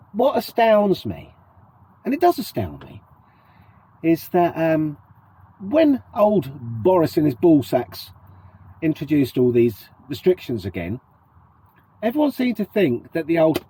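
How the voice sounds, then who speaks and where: A man's voice: fairly high pitch, huge pitch swings, measured pace, long pauses, mellow, a little hiss or hum, low-quality recording. A middle-aged British man with a cold and a sniffly voice, chatting casually to his vlog viewers and putting questions to them. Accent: British English. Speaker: vlogger. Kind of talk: casual to-camera chat.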